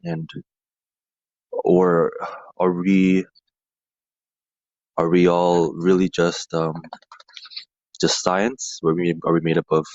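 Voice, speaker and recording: A dull, lo-fi phone voicemail with very little room sound. A man with a low voice speaks off the cuff. He is halting, searching for words and repeating them as he goes. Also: darkish tone, dry recording. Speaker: caller leaving a voicemail. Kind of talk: unscripted voicemail message. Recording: dull, dry voicemail audio.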